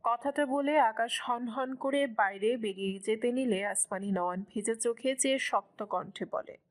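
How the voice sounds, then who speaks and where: A woman's voice: fairly high pitch, moderate pace. A woman reading scripted narration as the storyteller of a dramatic romance story.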